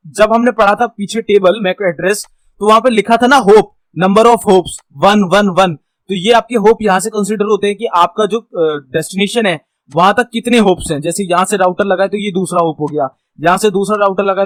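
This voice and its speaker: A man's voice: high voice, moderate pace, no room sound, rough recording. An Indian man giving an informal tutorial, reading out points and then explaining them, often checking that the listener follows and mixing in English technical terms. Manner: explanatory, repeatedly checking understanding with tag phrases. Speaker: instructor, tech tutorial host.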